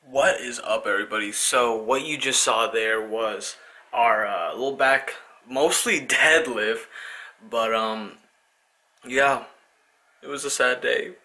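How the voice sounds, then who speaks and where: Gravelly, bright-voiced young man vlogging. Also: medium pitch, takes it slowly, a little hiss or hum. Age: young adult. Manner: loose, rambling, complaining. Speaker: student vlogger. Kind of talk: casual vlog chat to camera. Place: small bedroom indoors. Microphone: handheld camera close to face.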